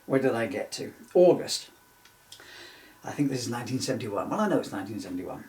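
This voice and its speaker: A man's voice: medium pitch, sing-song, quick pace, darkish tone. A man reading from his own book in an informal way, with jokey, self-mocking, rambling asides. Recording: echoey room, distant mic.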